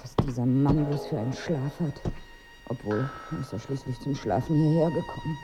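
An actress playing a prim, ironic wife on holiday in a radio play, with a husky voice and a dry, wry manner. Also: female voice, a bass voice, moderate pace, music in the background. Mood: polite, musing.

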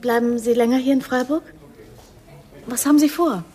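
A woman's voice: high voice, fairly even intonation, moderate pace, mellow, lightly acted. Eager, polite young woman played by an actress. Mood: gushing politeness. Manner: polite, gushing, slightly hesitant. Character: eager young woman posing as an admiring student. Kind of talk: German radio drama acting.

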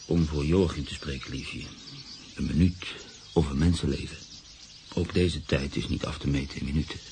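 A man's voice: extremely low pitch, fairly even intonation, normal speed, noisy background. An actor playing a brooding, cynical man in love who narrates a radio drama. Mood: solemn, tender.

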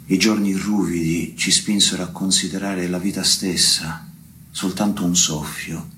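A man with a very low voice reads poetry gravely and evenly, barely varying his pitch. He takes his time, pausing between phrases, over clearly audible background noise. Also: fairly even intonation, sharp tone. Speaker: poetry reader at a tribute recital. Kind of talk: slow poetry recitation.

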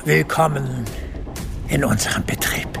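An actor playing a jovial, self-important personnel manager in a radio drama, speaking with patronising formality. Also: male voice, mid-range voice, a little slow, gravelly, over loud music. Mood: solemn, approving.

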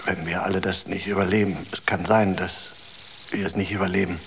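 A man playing a tormented, exhausted space traveler in a radio drama, halting and murmuring, often close to a whisper. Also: low-pitched, fairly even intonation, gravelly, fairly bright tone, slight background noise. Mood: exhausted, resigned. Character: tormented, exhausted male space traveler doubting his sanity.

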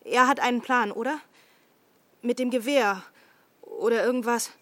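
Audio drama role, female actor: anxious, insistent young woman, lightly high pitched, very dry recording. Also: moderate pace, fairly bright tone, no echo, natural voice. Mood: questioning. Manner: questioning, uneasy, insistent. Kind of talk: German audio drama acting.

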